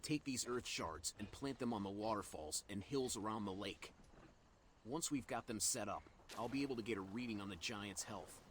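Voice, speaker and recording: A man's voice: lowish voice, normal speed, noisy background, close to an everyday voice. A man voicing a folksy, wry elemental healer who gives out quests in a fantasy game, chatty and teasing. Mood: teasing, practical.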